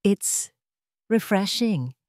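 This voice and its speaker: A woman's voice: mid-range voice, animated, very slow, darkish tone, dry recording, clean studio sound. Woman as reserved, devoted rich young man. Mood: amused.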